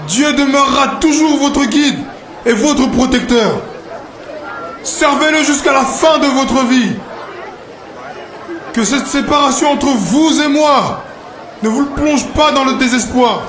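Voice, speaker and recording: Un homme jouant dans un dessin animé un prophète solennel, meneur vers la liberté, qui exhorte son peuple en déclamant. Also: male voice, extremely high pitch, slow, long pauses. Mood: solemn, reassuring. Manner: declamatory, exhortative preaching with emphatic slogans. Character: solemn prophet and freedom leader addressing his people. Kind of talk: animated film oratory, prophetic speech. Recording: echoey, noisy sound with crowd behind.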